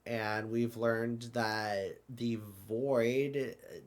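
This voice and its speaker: A man playing bewildered, apologetic travelers in an amateur audio drama, with a halting, stammering delivery. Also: mid-range voice, fairly even intonation. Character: bewildered, apologetic accidental travelers stranded in the void.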